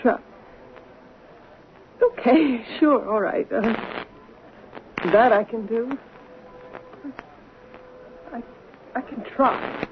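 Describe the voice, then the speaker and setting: An actress in a radio drama playing a bitter, volatile, washed-up older stage actress, theatrical and halting in delivery. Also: female voice, on the high side. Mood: drunken, weary, broken. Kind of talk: 1970s radio drama acting. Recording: dull, noisy old radio recording.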